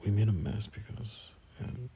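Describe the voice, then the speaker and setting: Man playing bashful teasing young male friend, very low voice, slow halting delivery, intimate romance roleplay, some background noise. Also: lively intonation, dark tone. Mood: amused, reminiscing. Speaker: voice actor in a romance audio roleplay. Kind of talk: intimate casual boyfriend-style audio roleplay.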